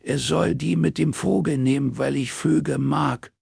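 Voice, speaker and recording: A man's voice: fairly high pitch, fairly even intonation, a little slow, creaky, darkish tone, breathy edge, no room sound, studio quality, pitched above the performer's own voice, heavily acted. A man voicing a shy, childlike woman in her sixties who talks bluntly in short, simple sentences. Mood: blunt, guileless.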